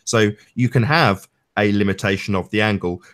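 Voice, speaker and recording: A man's voice: on the low side, normal speed, a bit bright. A man on a discussion panel, speaking emphatically in wordy, technical phrases during an informal debate.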